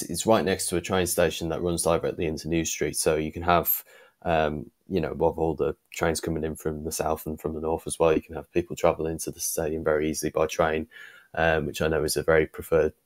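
A man with a very deep voice co-hosts a relaxed fan podcast, talking loosely and filling pauses with ums. He is heard through a video-call mic. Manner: relaxed, thinking aloud with many ums. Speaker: podcast co-host. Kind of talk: casual fan podcast chat. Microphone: video call with earphones.

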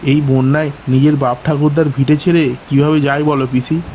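A man voicing a gentle, worried poor village father in a cartoon. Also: fairly high pitch, little pitch movement, a little fast, a little hiss or hum, lightly acted. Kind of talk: cartoon voice acting.

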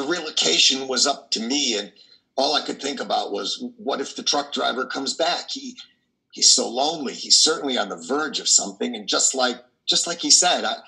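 An older man playing a troubled, tender priest who confesses his doubts haltingly and fervently in a play reading over a video call. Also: medium pitch, creaky, bright, forceful tone, full character voice, low-quality recording. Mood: hesitant, yearning. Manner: halting, fervent, with repeated words. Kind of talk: dramatic monologue in a play reading. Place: wood-panelled room at home, indoors. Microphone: video call, computer microphone.

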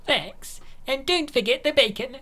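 A woman's voice: high-pitched, animated, a little slow, a bit bright, heavily acted. A woman voicing a laid-back, blunt young adult elf woman in a comic dub. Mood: chill, curious. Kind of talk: comic dub character acting.

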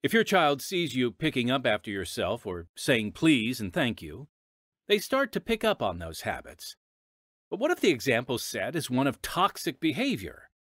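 A man's voice: medium pitch, fairly bright tone, no echo, clean studio sound. A man hosting a drama podcast, giving an upbeat, scripted introduction. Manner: upbeat, emphatic presenting. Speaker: podcast host. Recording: music playing under the voice.

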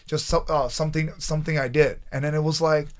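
A man in his thirties from Taiwan, raised in Canada, telling his story as a podcast guest in a small studio, animated and halting with restarts. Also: a little high. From Taiwan, raised in Canada from age nine. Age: 30s. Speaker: guest, pro athlete. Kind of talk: storytelling in an interview. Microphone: desk mic on boom arm.

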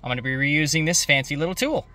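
A man's voice: fairly high pitch, quick pace, bright, forceful tone. A man hosting a do-it-yourself video, explaining an install step by step in a plainspoken, easygoing way. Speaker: host of a DIY vehicle channel. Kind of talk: how-to narration of an install. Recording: noisy, vehicle ambience behind.

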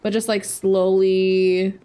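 A young woman in her twenties chatting casually while she plays a game on stream, thinking aloud through a headset mic, her voice a bit raspy today. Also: medium pitch, level tone, takes it slowly. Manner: chatty, thinking aloud, soft asides to chat. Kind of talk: casual gameplay commentary.

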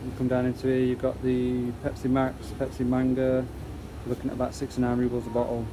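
A man's voice: mid-range voice, fairly quick. A man casually narrating a walk through a supermarket, chatting about products and prices as he films. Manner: relaxed, chatty, pointing out prices. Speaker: vlogger touring a supermarket. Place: large supermarket indoors, off camera. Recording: loud store air-conditioning hum behind.

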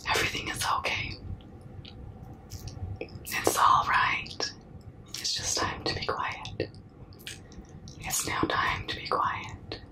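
A young woman whispers in a breathy, unvoiced ASMR style. She speaks extremely slowly and soothingly, repeating herself in a comforting way. The mic is very close. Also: far from the mic, low-quality recording. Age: young adult. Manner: slow, hushing, soothing, repetitive. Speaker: ASMR performer. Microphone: close mic, very near the camera.

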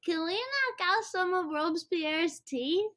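Squeaky, whiny, mischievous little girl. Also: animated, a little slow, fairly bright tone, heavily acted. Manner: whiny, insistent, childlike questioning. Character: mischievous, stubborn little girl pestering her daddy. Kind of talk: 1940s radio comedy acting.

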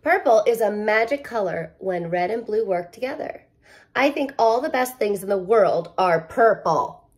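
A woman in her thirties reading a picture book aloud like a teacher, expressive and playful, with casual asides and emphasis on key words. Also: medium pitch, normal speed. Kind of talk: animated children's read-aloud with casual asides.

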